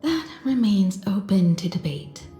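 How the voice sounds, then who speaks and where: An actress playing a poised, diplomatic space traveler in a video game, speaking politely and deliberately with earnest self-assurance. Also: female voice, mid-range voice, sing-song, mellow, roomy sound, faint music behind. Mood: polite, direct. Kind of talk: scripted video game character dialogue.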